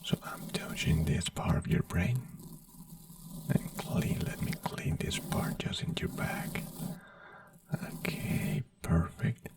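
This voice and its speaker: An ASMR creator in a doctor roleplay, whispering softly in slow, soothing, simple reassuring phrases. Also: mid-range voice, sing-song, creaky, no echo, far from the mic.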